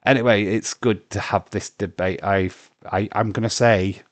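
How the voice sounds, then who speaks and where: A man's voice: lowish voice, fairly even intonation, normal speed, no echo. A man hosting a casual livestream, arguing his point, stumbling over words and laughing. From England. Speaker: livestream host.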